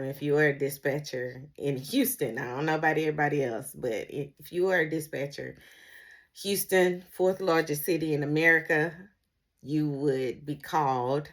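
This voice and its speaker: Middle aged lady w a low voice, rambly casual vlog chat, kinda hunting for words. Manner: rambling, conversational, often searching for words. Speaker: vlogger, former emergency dispatcher.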